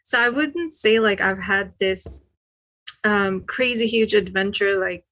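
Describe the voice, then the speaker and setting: A woman from Sri Lanka speaking as a guest in a casual podcast chat. Her voice sits at a middle pitch, and she talks informally and tentatively, checking she is being understood. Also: a little slow, fairly bright tone.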